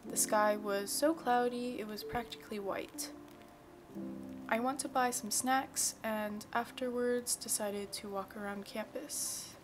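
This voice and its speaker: Soft-spoken young woman narrating over music. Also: a little high, fairly even intonation, measured pace. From Virginia. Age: young adult. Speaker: vlogger. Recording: music bed under the voice. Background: soft background music.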